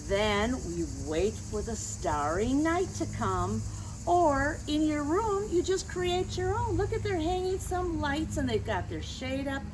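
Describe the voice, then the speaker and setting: A woman reading a picture book aloud to young children, chatting to them directly with questions and gentle reminders in a teacherly way. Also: sits high, very animated, a little slow. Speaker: story time reader for children. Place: outdoors near lawns being mowed. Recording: outdoor noise, mower and birds.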